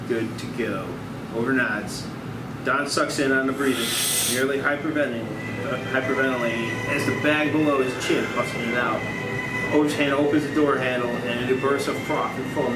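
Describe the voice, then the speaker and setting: Distant male narrator in an echoey room. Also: mid-range voice. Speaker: narrator reading stage directions. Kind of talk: scripted narration at a table read.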